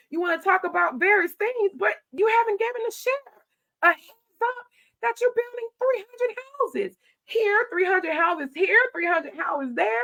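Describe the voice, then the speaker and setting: A middle-aged woman, a county commissioner telling stories in an animated, loud voice. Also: very high-pitched, gravelly, poor audio. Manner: animated storytelling, acting out her own quoted replies. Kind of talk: casual interview talk.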